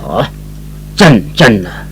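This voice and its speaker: A man's voice: fairly low pitch, huge pitch swings, takes it slowly, fairly bright tone, a little hiss or hum, pitched below the performer's own voice, heavily acted. A man playing a paternal older company chairman, coaxing yet authoritative, in old-fashioned radio drama style. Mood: doubtful.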